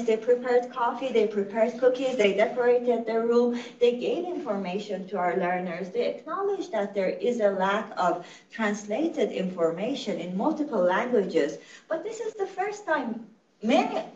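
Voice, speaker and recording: A fairly high-voiced woman from Iran, a Farsi speaker, talks through her points earnestly and often restarts her sentences. She sounds set back from the microphone in a roomy, echoing space. The recording itself is dull and muffled. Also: darkish tone. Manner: earnest, explanatory, frequent self-corrections. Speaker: literacy program director giving a presentation. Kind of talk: conversational lecture to medical audience. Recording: echoey, distant, dull-sounding room recording.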